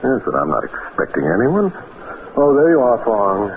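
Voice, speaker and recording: An actor playing an urbane middle-aged lawyer and bon vivant, theatrical, pompous and wry, in an old radio drama. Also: male voice, medium pitch, wildly swooping pitch, normal speed. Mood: hurried. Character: urbane, grandiloquent bachelor lawyer, worldly, brandy-loving bon vivant. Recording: tinny, noisy old radio recording.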